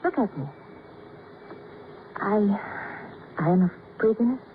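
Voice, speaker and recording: An actress playing a hurt, wistful, love-sick woman in old radio drama style, speaking in halting, poetic phrases. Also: medium pitch, expressive. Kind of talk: 1940s-50s radio drama acting. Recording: tinny, hissy old radio broadcast.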